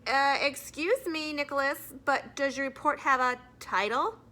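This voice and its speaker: A woman's voice: high-pitched, measured pace, pushed and bright, pitched above the performer's own voice. A woman voicing a prim, strict but encouraging woman schoolteacher in a children's storybook, with formal, firm classroom address. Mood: politely interrupting.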